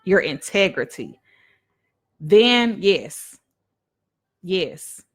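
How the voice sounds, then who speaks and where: A woman's voice: fairly low pitch, sing-song, very slow. A woman minister giving a casual live-streamed devotional talk, emphatic and repetitive in a preaching cadence, addressing viewers as y'all.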